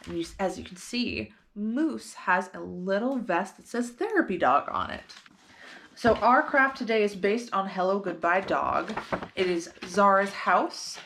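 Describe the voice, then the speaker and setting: A woman's voice: mid-range voice, a bit bright. A woman hosting storytime, reading a picture book aloud expressively and putting simple questions to young listeners.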